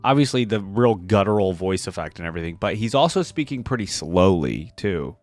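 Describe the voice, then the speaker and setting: A man's voice: sits low, sing-song, fairly quick, a little mellow. A man hosting a game reaction video with casual, conversational, rambling commentary.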